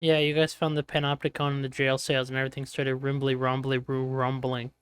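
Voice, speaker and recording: A man's voice: medium pitch, monotone, brisk, clean tone, dry recording. A young man acting as game master in a casual voice chat, joking and teasing his players and often trailing off mid-sentence. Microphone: online voice chat mic.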